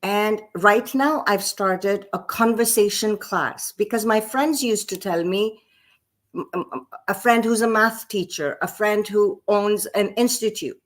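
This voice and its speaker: A woman in her fifties from Pakistan, a French teacher telling her life story in an interview, with frequent restarts. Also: medium pitch. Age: 50s. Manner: slow, reflective storytelling with repeated restarts. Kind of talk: casual interview talk.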